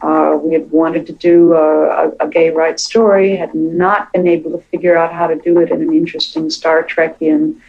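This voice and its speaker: A woman speaks as a writer being interviewed, with audible fry in her voice. Her delivery is thoughtful and measured, laying out her reasoning in a reflective way. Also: low voice, little pitch movement, dull audio.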